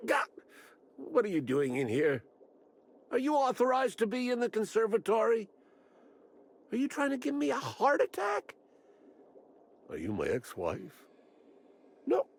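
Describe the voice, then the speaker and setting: Crotchety old botanist, wildly animated and gravelly. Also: male voice, medium pitch, heavily acted. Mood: startled, suspicious. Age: older. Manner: grumbling, rambling, theatrical. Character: crotchety, eccentric old botanist, rambling and morbidly blunt. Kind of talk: video game character acting.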